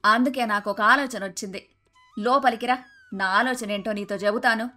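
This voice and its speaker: A woman playing a traditional, domineering and sharp-tongued older mother-in-law in a cartoon moral story. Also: on the high side, fairly even intonation, moderate pace. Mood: eager. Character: bossy, traditional, scolding older mother-in-law and household matriarch. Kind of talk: dramatised moral story dialogue. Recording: music behind the voice.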